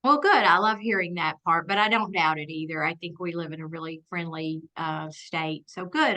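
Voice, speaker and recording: A woman's voice: medium pitch, sharp tone, rough recording. A woman from Alabama, hosting a conversational interview and asking friendly, encouraging questions. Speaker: interviewer, association president.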